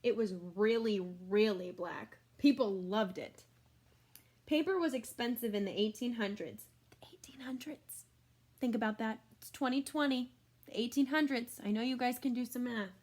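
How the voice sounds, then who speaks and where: Female teacher, higher-pitched, expressive picture-book read-aloud for children, chatty and explanatory, speaks directly to listeners. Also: normal speed.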